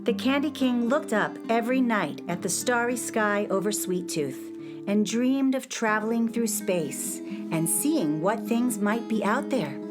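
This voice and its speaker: Animated woman storyteller over guitar music. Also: fairly high pitch, a little slow. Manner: expressive storybook delivery. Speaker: narrator. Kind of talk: scripted children's storytelling narration.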